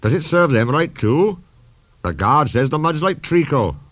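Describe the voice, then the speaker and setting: A man voicing a brisk, urgent male railwayman in a storybook, with a commanding manner. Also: fairly high pitch, big pitch swings, a bit bright, dry recording, voice pushed up, heavily acted. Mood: appealing.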